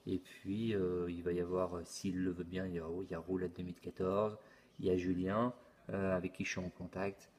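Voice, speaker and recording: A middle-aged man hosting his channel, explaining a forum casually, halting often and repeating words with many fillers. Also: sits low, flat delivery, darkish tone, slight background noise. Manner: plain explanatory tone. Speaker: YouTube channel host. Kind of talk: casual screen-recorded forum walkthrough.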